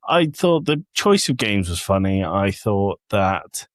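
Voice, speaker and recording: Blunt man with a lowish, dry sound. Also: big pitch swings, slow, dry recording. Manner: blunt, critical. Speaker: podcast panellist. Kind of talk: casual opinionated discussion.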